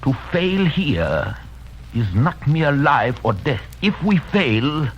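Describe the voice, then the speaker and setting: Grave old-radio vampire hunter doc, halting and deliberate, dull faintly hissy recording. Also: male voice, on the high side, sing-song. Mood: urgent, grave. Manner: solemn, deliberate, halting foreign phrasing. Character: grave learned foreign vampire hunter doctor, solemn and commanding. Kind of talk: 1930s radio drama acting.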